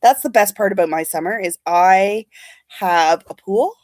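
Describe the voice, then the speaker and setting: A woman's voice: mid-range voice, a little slow, a little mellow, no room sound. Sniffly chatty woman vlogger, dry sound. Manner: chatty, rambling, reads and answers live comments. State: sniffly from allergies. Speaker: beauty vlogger. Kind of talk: casual livestream chat.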